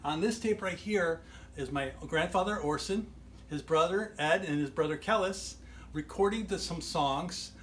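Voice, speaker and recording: A man's voice: fairly high pitch, measured pace. A man in his forties talking casually to camera as the host, recorded in a small furnished room at home. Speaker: host, band musician. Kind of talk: casual talk to camera introducing old tapes. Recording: noticeable background noise.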